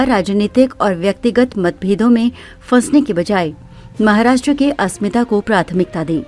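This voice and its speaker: Woman, news voice-over, medium pitch, formal declarative reading, background music. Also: mellow. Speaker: news narrator.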